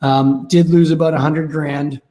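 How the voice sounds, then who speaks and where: A Canadian man in his thirties with a raspy voice from a cold, telling his story candidly and wryly as a podcast guest. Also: fairly high pitch, flat delivery, a little slow, mellow. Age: 30s. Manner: candid, wry. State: has a cold. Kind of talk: casual interview storytelling.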